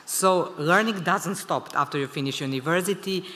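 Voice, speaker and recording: A woman's voice: lowish voice, moderate pace, fairly bright tone. A woman in her fifties with a Serbian accent gives a motivational speech, emphatically urging her listeners on. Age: 50s. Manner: emphatic, exhorting, addresses listeners directly. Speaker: conference speaker. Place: large indoor conference hall. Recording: reverberant conference hall.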